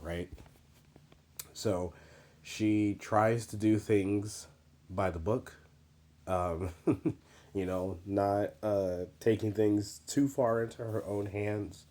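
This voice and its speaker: A man's voice: low-pitched, a little mellow. A man talking casually over his drawing, his voice a little scratchy as he gets over being sick, rambling with many fillers and restarts. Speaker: artist narrating his drawing process.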